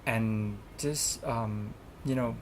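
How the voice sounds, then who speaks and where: A man's voice: lowish voice, extremely slow, slight background noise. Earnest young Thai-speaking man, rambling. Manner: earnest, rambling with many fillers and restarts. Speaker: international student representative. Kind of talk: student interview.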